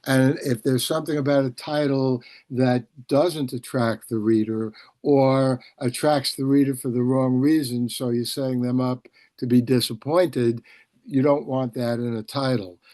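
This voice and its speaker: Casting: male host of a video-call interview. Medium pitch, dry sound, rambling, conversational phrasing. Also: fairly even intonation, measured pace, warm tone, no echo.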